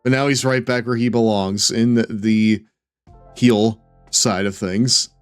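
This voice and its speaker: A man chatting casually with his chat while playing a wrestling game on stream, laid-back and drawling, and given to sudden excited shouts. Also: fairly low pitch, slow, clear, resonant tone, faint music behind.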